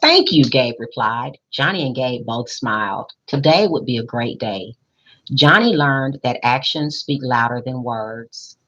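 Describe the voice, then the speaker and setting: A woman reading a picture book aloud expressively, pausing in a teacherly way to put questions to young listeners. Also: a bass voice, fairly bright tone, lo-fi sound. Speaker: school principal reading aloud to children.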